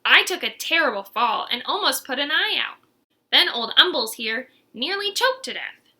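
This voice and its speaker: A woman plays an elderly female snake in a storybook, fussy and fond of reminiscing. The voice is pitched high and bright, with emphatic, animated delivery. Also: normal speed, full character voice.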